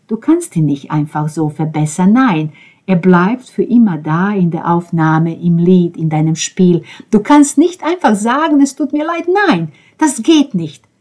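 A woman reading as a grieving, bitter young man, a drummer, in an intense first-person monologue that veers between hushed whispers and outbursts. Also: fairly low pitch, a little slow. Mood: anguished. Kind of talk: dramatic audiobook reading.

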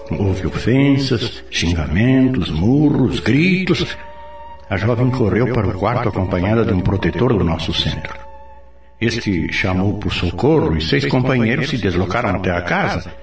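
A Brazilian man playing a patient, authoritative spirit mentor in a radio drama, explaining things in a didactic, expressive way. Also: fairly low pitch. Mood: earnest. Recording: roomy, distant, music behind.

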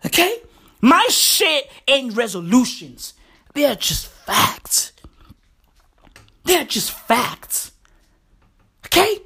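A boastful young man on the edge of thirty, as a woman acts him out, rattling off slang and swear words in a comic rant. The voice sits fairly high and swings widely in pitch. The delivery is slow and drawn out, with a breathy edge. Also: a bit bright, exaggerated voice. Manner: brash, swears often, repeats slang like bruv and legit. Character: brash young man nearing thirty, cocky slang-talker. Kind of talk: comic character monologue.

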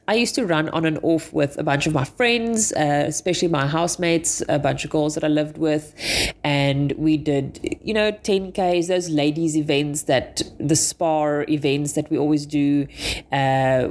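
A woman's voice: low-pitched, darkish tone, slight background noise. A South African woman in her thirties with a blocked, stuffy nose, chatting in a meandering, self-correcting way as a podcast host. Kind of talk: casual personal monologue.

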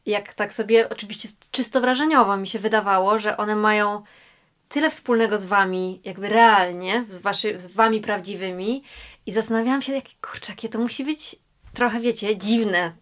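A woman hosts a relaxed interview in a mid-range voice. She agrees readily and lays out her long questions step by step, probing her guests with curiosity. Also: mid-range voice. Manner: friendly, asks long probing questions, quick agreement sounds. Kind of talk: casual interview.

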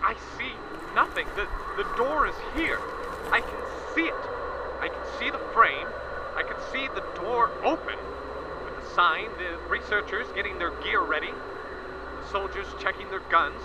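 A seasoned war correspondent, played by a man, reports live, tripping over his words in awe. His voice runs high and lively. It comes through a hissy, distant line in the radio drama. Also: unhurried. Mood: awed. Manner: stammering, awed and breathless. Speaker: field reporter.

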